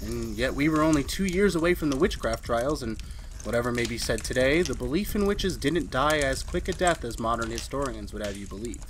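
A man's voice: mid-range voice, expressive, fairly quick, a little hiss or hum, natural voice. A man playing a centuries-old husband of a witch in a retro radio drama, with wry, reflective storytelling. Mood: wry, reminiscing. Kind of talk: retro 1940s radio drama narration and acting.

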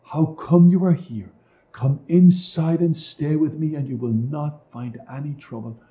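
A man voicing a wicked old witch in a storybook tale, shrill and exaggerated, cruel and scheming. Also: very animated, unhurried, a bit bright, full character voice. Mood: coaxing, falsely sweet. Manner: exaggerated, spiteful, scolding. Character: wicked old witch woman, feigning sweetness, cruel and cackling.

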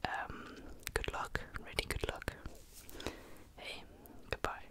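A man's voice: slow, close to an everyday voice. Teen guy playing a whispering friendly barber. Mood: soothing. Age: teens. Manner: soft, polite and reassuring. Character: friendly young male barber finishing a cut. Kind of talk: ASMR roleplay. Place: small bedroom with a sloped ceiling. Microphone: large studio mic close on the desk.

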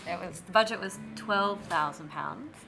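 A woman, a film producer giving measured, matter-of-fact interview answers. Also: lowish voice, vocal fry, over music. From Tasmania, Australia. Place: seated indoors in a room with a leather sofa. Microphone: lapel mic.

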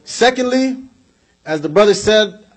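Husky-voiced young man giving a speech. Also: high-pitched, lively intonation, measured pace, poor audio. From United States. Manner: emphatic, repeats points for effect, gestures while speaking. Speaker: speaker at a community event. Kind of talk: political speech. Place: indoor hall with flags behind. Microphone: podium microphone on a stand.

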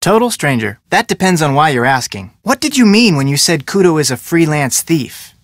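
A man's voice: fairly high pitch, very animated, normal speed, no echo, clean studio sound. A man playing an inquisitive, level-headed young American amateur detective in a video game, speaking in a casual, probing way. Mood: curious, friendly. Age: young adult. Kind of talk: scripted game dialogue.

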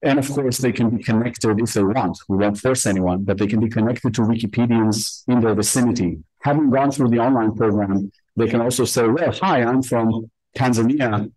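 Male conference speaker, medium pitch, deliberate and emphatic explainer, dry recording. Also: a little mellow, no room sound, rough recording. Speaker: conference presenter. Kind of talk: explanatory conference presentation with slides. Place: indoor conference room.

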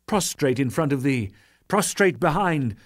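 A man voicing an awestruck, reverent warrior in a dramatic scripture reading, fervent and pleading, with declamatory pauses. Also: on the high side, lively intonation. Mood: fervent worship.

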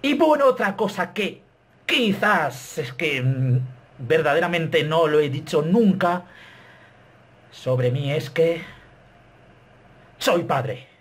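Slow-talking Spanish man in his thirties. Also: on the high side, husky. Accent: Castilian Spanish. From Spain. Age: 30s. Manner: animated, self-mocking, gestures a lot. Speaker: YouTuber. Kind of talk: casual vlog to camera. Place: small bedroom, indoors.

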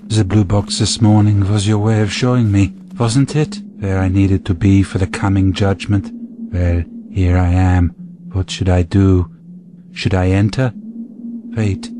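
Man playing a low-voiced, fervent, devout soldier. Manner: fervent, questioning, talking to himself. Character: devout, delusional soldier muttering prayers to God. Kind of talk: audio drama monologue. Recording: clear background noise, outdoor ambience.

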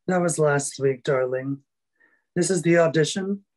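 A man's voice: a little high, unhurried, darkish tone, lightly acted. A man playing an impatient, short-tempered theater director, curt and sighing, on a webcam.

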